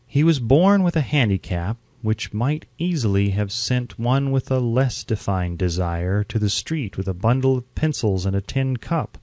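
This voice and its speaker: A man reading an audiobook from a script in a measured, formal, earnest way, close to the microphone. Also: mid-range voice, lively intonation, darkish tone, no echo. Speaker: audiobook narrator.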